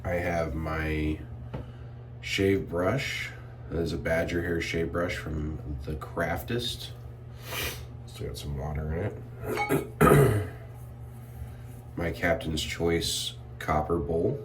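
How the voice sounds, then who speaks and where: Deep-voiced man, sniffly, off-mic. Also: drawn-out words, pauses often, distant mic, noisy background. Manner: relaxed, rambling with many fillers and throat clearing. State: sniffly from irritated sinuses. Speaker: shave product reviewer. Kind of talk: casual product review vlog.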